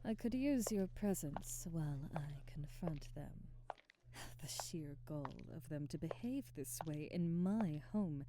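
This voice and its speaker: A woman playing a haughty, commanding aristocratic vampire in an ASMR audio roleplay, speaking formally with imperious, deliberate diction. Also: low voice, animated, background noise. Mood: weary, irritated.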